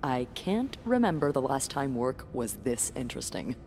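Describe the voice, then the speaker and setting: A woman's voice: a bass voice, sing-song, moderate pace, darkish tone, big-room sound, a little hiss or hum, full character voice. A woman voicing a wry, chatty hotel receptionist in a narrative video game. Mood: wry, gossipy.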